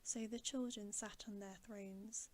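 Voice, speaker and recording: A woman reading a bedtime story aloud in ASMR style, softly and deliberately, often in a whisper, close to the microphone. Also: medium pitch. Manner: soft, hushed, often whispering, slow and deliberate. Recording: steady background hiss.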